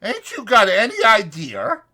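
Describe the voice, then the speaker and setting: A man's voice: high voice, huge pitch swings, unhurried, bright, forceful tone, pitched above the performer's own voice, exaggerated voice. A man voicing a weary, resigned but protective ranch worker in an audiobook, with blunt, ungrammatical rural working-man speech. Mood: grim resignation. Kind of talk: dramatized audiobook character voice.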